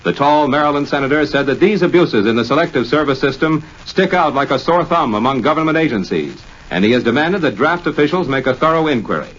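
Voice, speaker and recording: A man's voice: a little high, expressive, quick pace, hardly any pauses, fairly bright tone. An American man reading scripted 1940s radio news as a staff announcer. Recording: muffled vintage 1940s radio broadcast.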